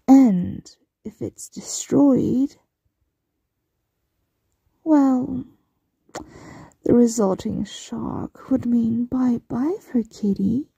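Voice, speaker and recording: A woman playing a possessive, smugly menacing mistress in an ASMR roleplay, breathy and often whispering, with a teasing, condescending coo. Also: a little high, mellow, dry recording, exaggerated voice. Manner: teasing, condescending, drawn-out cooing.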